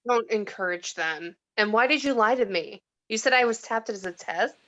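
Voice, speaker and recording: A woman's voice: fairly high pitch, normal speed, fairly bright tone, dull audio, close to an everyday voice. A woman reading the part of a skeptical, reluctant heroine with a dry manner in a film script read-through.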